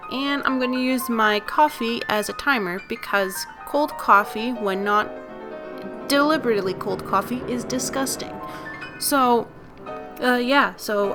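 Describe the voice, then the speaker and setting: A tired young woman with a raspy, lower voice, talking casually and unscripted in a vlog, full of fillers and restarts. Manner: rambling, many fillers and self-corrections. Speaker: video creator. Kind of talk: unscripted casual vlog talk over speed-drawing. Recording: music playing underneath.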